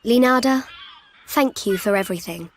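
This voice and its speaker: A woman playing a brave, sincere young adventurer in a video game, soft-spoken and grateful. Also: mid-range voice, normal speed, slight background noise, natural voice. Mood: grateful, hopeful. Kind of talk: scripted video game cutscene acting.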